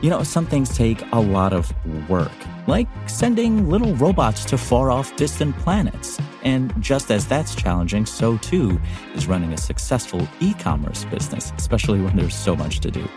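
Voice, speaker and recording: A man's voice: mid-range voice, big pitch swings, moderate pace. A man reading a scripted podcast sponsor ad. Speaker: sponsor ad reader. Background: music.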